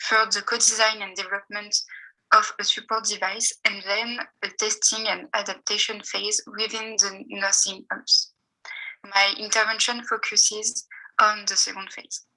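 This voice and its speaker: Bright-voiced woman reading a paper. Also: mid-range voice, level tone, poor audio. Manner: careful, measured reading with pauses between phrases. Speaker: PhD student presenter. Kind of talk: reading a seminar paper aloud.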